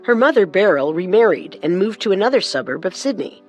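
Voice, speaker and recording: A woman narrating a scripted true-crime story, measured and with storyteller's emphasis. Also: mid-range voice, big pitch swings. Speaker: co-host narrator. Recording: music under the voice.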